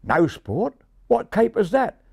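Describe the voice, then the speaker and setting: An excitable Australian pigeon from a children's storybook , put on by a male reader. He is brash and mocking, with a matey Australian accent. His pitch rides fairly high and jumps about a great deal. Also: slow pace, creaky, breathy edge, no echo, studio quality, full character voice. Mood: curious.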